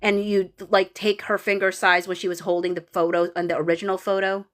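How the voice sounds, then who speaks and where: A woman's voice: fairly low pitch, flat delivery, a little fast. A woman with a Filipino accent, perhaps in her thirties, hosting a casual livestream, reading viewer comments and rambling with many fillers and restarts. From Philippines. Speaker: livestream host reading chat.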